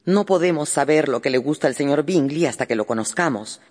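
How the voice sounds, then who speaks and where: A woman's voice: deep voice, animated, brisk, a little mellow, long reverb tail. A woman acting a fussy, gushing middle-aged mother in a radio drama, in a theatrical manner. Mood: exasperated. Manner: theatrical, fussing.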